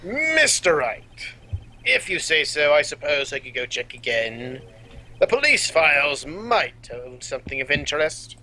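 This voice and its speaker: A man's voice: fairly high pitch, big pitch swings, a little slow, sharp tone, heavily acted, low-quality recording. A young man voicing a fussy, pompous older male lawyer in a game dub, talking in a formal, old-fashioned way.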